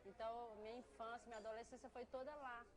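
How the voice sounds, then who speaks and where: Female waitress from Brazil, casual interview talk, slightly high, people audible around her. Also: level tone, quick pace. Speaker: café waitress. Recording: busy chatter in background.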